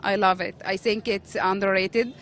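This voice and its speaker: A woman's voice: medium pitch, fairly even intonation, normal speed, a bit bright. A woman from Egypt, a journalist, speaking hesitantly with many fillers.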